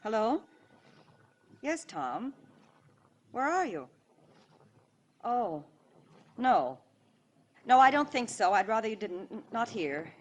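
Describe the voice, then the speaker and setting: Haughty middle-aged American wife, wide swings in pitch, impatient, scornful, vintage radio drama. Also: female voice, big pitch swings, takes it slowly, long pauses, heavily acted. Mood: flustered, urgent. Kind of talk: 1940s-50s American radio drama acting.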